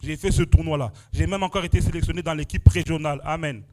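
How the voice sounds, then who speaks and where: A man's voice: on the high side, fast talker, dark tone. Fervent French preacher man, amen-punctuated. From France. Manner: exclamatory, punctuates phrases with "amen" and "alléluia", rising and falling intensity. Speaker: preacher giving testimony. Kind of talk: sermon testimony. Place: church prayer vigil.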